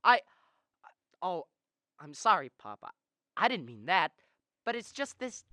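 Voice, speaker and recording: A young woman acting an earnest, restless young man in a radio drama, with halting, stammered hesitations. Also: fairly low pitch, big pitch swings, sharp tone, dry recording, studio quality, exaggerated voice. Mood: earnest, frustrated. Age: young adult. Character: restless, eager young man dreaming of big things. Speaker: student actor.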